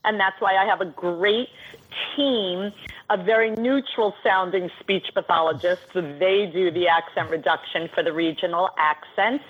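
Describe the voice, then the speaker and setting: A woman's voice: fairly low pitch, unhurried, husky, highs cut off. A middle-aged woman with a New York accent, a guest coach explaining at length in an articulate, list-like way. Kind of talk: radio interview.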